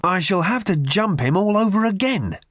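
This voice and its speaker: A man voicing a manic, excitable male galactic hitchhiker who rants loudly and emphatically in an audiobook. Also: a little high, moderate pace, no room sound, clean studio sound, voice pushed up. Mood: indignant rage. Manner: loud, emphatic, indignant.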